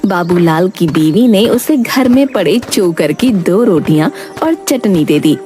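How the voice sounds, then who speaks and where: Woman, story narrator, animated intonation, medium pitch, music behind. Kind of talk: scripted children's story narration.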